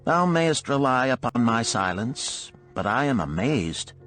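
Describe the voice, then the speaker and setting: A man's voice: mid-range voice, sing-song, unhurried, fairly bright tone, soft background music, voice pushed up. A man voicing a cynical, spoiled young nobleman who speaks emphatically in formal, archaic language, in an audiobook. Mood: amazed, curious.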